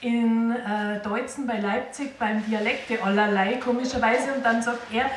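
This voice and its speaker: A woman with a Bavarian accent, a stage performer telling lively stories in an interview. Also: on the high side, moderate pace, recorded from a distance, slight background noise. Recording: echoey room, a bit off mic.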